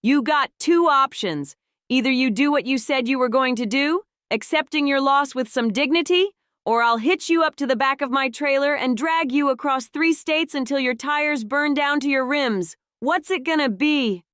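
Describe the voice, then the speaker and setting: A woman's voice: sits high, moderate pace, fairly bright tone, no room sound, clean studio sound. A woman voicing a stern but caring female talking truck in a cartoon, firm and no-nonsense. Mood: stern, threatening. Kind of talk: animated series voice acting.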